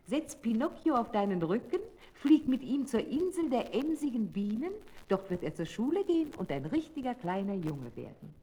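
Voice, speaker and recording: A wise fairy in a children's radio play. Her voice is high, soft and breathy, with lilting, gently commanding phrases. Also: very animated, normal speed, hardly any pauses, poor audio. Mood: gentle, solemn.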